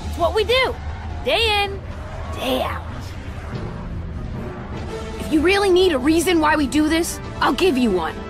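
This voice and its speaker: A woman's voice: extremely high pitch, lively intonation, unhurried, pauses often, music in the background. An actress playing a tough, determined young woman, firm and feisty, in a video game cutscene. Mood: resolute.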